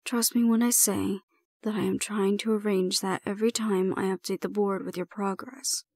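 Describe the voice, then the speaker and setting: A woman playing a composed, stern female supervisor in an audio roleplay, speaking in a measured, formal and deliberate way. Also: medium pitch, dark tone, no room sound, studio quality, close to an everyday voice. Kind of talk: scripted audio roleplay acting.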